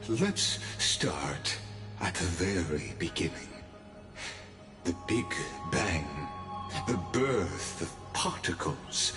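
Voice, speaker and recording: An actor playing a theatrical, erudite storyteller in a game, speaking in a grand, measured, playful way. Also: male voice, deep voice, sing-song, heavily acted. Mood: musing, playful. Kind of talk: scripted game dialogue. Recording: echoing game audio under music.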